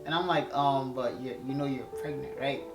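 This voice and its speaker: A young man with a Ghanaian accent chatting casually as co-host, rambling with halting restarts and repeating himself. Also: medium pitch, steady tone, creaky. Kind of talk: casual couple chat. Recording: echoey room with music behind.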